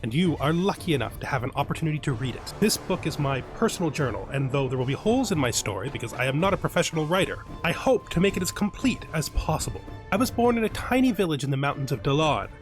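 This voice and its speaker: A man's voice: fairly high pitch, expressive, quick pace, few pauses, soft background music, natural voice. A man voicing a boastful, scornful young male bandit boss who reads from his own journal. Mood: proud. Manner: proud first-person journal reading.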